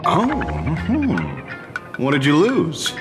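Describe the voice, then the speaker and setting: An actor playing a broke, sleepy, sardonic detective in a video game, with a dry, dramatic, wryly cynical manner. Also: male voice, a little high, huge pitch swings, takes it slowly, long reverb tail, over music, full character voice. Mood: curious.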